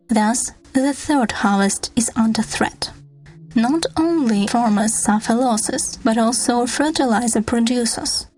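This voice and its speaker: A woman's voice: a little high, a little mellow, low-quality recording. A woman reading scripted documentary narration as the narrator of a news podcast.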